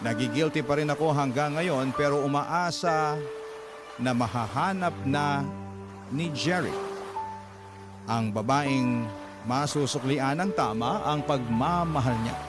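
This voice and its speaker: A man's voice: medium pitch, measured pace, pauses often. A man with a Filipino accent reading a letter as dramatic scripted narration, as host of a radio drama. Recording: loud music under the voice. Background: loud music bed.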